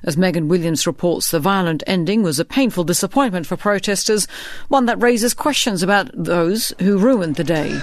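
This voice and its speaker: A woman's voice: on the low side, normal speed. A woman presenting radio news with a scripted introduction.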